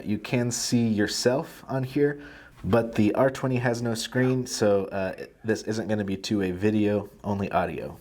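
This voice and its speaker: A man's voice: on the low side, darkish tone. Man presenting a casual product tutorial. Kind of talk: casual step-by-step product demonstration.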